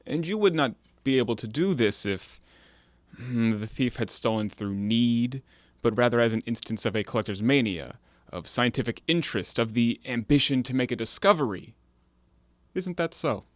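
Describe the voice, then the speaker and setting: Man acting a guarded, quietly probing traveler. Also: medium pitch, animated, dry recording, natural voice. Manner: hesitant, measured, questioning. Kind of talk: stage-play acting in an audio drama.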